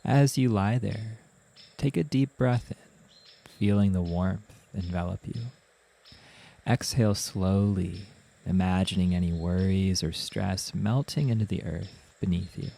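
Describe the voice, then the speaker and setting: A man narrating a guided meditation as the guide, in a soothing, gentle manner with unhurried instructions. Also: low-pitched, vocal fry.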